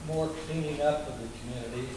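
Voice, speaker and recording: A man, the town mayor, answering candidate questions in a plain, halting way. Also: fairly high pitch, fairly even intonation. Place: community center hall. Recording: echoey hall from far off, noisy.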